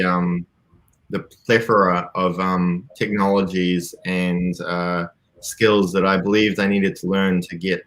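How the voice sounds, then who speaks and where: A young man from New Zealand with a very deep voice speaks over a video call. He talks in a relaxed, reflective way, often pausing on ums and starting over mid-sentence. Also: rough recording. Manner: thoughtful, frequent ums and restarts. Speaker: interview guest, BI developer. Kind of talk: casual video-call interview.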